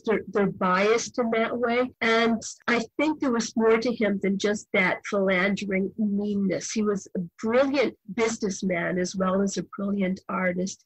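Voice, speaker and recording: An older woman novelist answering interview questions in a thoughtful, measured way. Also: medium pitch, slightly rough, no echo, poor audio. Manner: thoughtful, measured, occasional restarts. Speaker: novelist guest.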